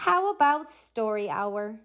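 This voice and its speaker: A woman voicing a sweet, gentle woman schoolteacher in a storybook. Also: fairly high pitch, very animated, takes it slowly, clear, resonant tone, full character voice.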